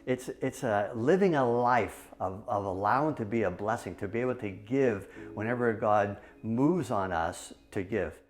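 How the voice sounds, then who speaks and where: A man in his forties from New Hampshire, a church member and small business owner, telling his testimony in a video, halting with many restarts. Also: on the low side, faint music behind. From New Hampshire, USA. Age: 40s.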